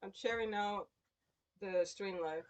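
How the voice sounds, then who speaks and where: A woman's voice: medium pitch, unhurried. A woman hosting a live show in casual, explanatory conversation. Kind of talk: casual chat. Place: online livestream call.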